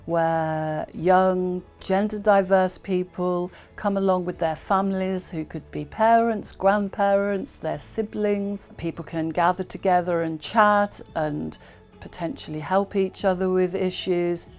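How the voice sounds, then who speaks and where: A woman's voice: lowish voice, fairly even intonation, a little slow, darkish tone. A woman describing her work as an education project worker, in the manner of an interview for an organisation's film. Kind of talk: interview-style account of work for a promotional film. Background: background music.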